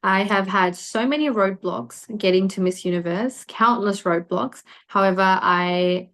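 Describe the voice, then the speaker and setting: A woman's voice: medium pitch, measured pace, fairly bright tone. Poised young woman. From Fiji. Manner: poised, earnest, self-assured. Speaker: pageant contestant guest. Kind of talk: interview answers. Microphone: video call.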